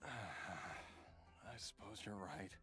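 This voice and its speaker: A man's voice: low-pitched, lively intonation, drawn-out words, pushed and bright, faint music behind, full character voice. A man playing a mild, grateful father recovering from illness in a video game, a little hesitant. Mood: grateful, thoughtful. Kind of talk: English video game voice acting.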